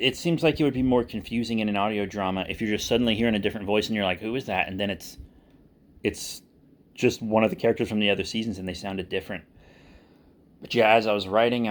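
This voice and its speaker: A man speaking casually and unscripted as a channel host, candid and self-deprecating, with halting delivery. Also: fairly low pitch. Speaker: audio drama creator and channel host. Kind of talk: casual unscripted vlog talk.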